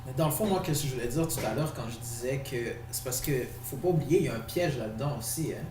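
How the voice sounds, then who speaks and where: A man's voice: medium pitch, quick pace, darkish tone, far from the mic. A man with a Quebec French accent, co-hosting a podcast, explaining his views in casual talk and slipping English slang into his French. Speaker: podcast co-host. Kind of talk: casual discussion. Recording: echoey room, off-mic, faint noise.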